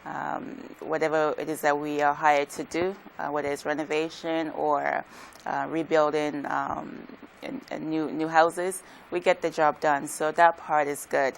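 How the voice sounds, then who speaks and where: Woman from Ghana, very low voice, soft and hesitant, many "um"s. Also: level tone, measured pace, creaky, a little hiss or hum. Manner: soft-spoken, hesitant, frequent "um"s. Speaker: contractor, guest. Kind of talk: interview answers.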